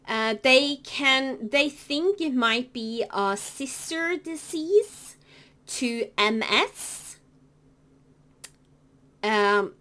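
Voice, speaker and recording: A woman with a Norwegian accent, a native Norwegian speaker talking in English, explaining things to camera in a halting way with many fillers and self-corrections. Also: high voice, a bit bright. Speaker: cross-stitch vlogger. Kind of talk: casual explanatory talk to camera.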